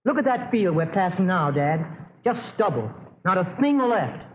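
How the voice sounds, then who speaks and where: A young American farmer's son, high-voiced, earnest and a little hesitant. He sounds like a worried youth in an old-time radio play, on a narrow, hissy, noisy recording. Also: sing-song, normal speed. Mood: bitter, discouraged. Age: young adult. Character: earnest, discouraged young farm son bound for college. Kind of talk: 1940s American radio drama acting. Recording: scratchy, tinny old radio broadcast.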